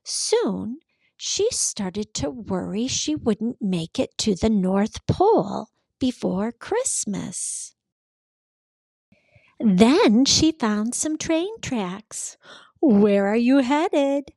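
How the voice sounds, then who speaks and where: Very slow, high-voiced woman reading to children. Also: vocal fry, slightly rough, no echo. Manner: expressive, deliberate, simple phrasing for children. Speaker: host of children's read-alouds. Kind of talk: storybook read-aloud for young children.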